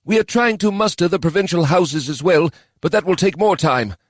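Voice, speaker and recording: A man's voice: high-pitched, lively intonation, moderate pace, no echo, clean studio sound, voice pushed up. A man voicing a thick-bodied male elf commander who is anxious and stammers when rattled. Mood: worried.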